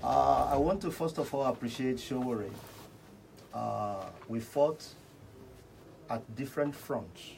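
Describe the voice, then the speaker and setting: A man with a Nigerian accent and a middle-pitched voice makes his case on a radio panel. His phrasing is courteous and deliberate. There is slight noise in the background. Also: creaky, a little mellow. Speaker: reverend, political panel guest. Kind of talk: radio political debate. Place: radio studio.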